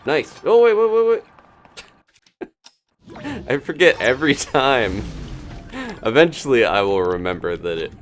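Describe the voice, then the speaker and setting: A man's voice: mid-range voice, expressive, measured pace, pauses often, soft background music. A man giving casual live gameplay commentary, chatting and thinking aloud in unfinished asides. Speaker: video game streamer.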